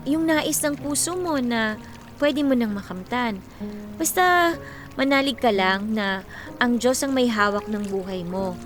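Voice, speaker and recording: A woman acting a devout, encouraging young woman in a radio drama, earnest and gentle, with music behind her. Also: fairly high pitch, lightly acted. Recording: music under the voice.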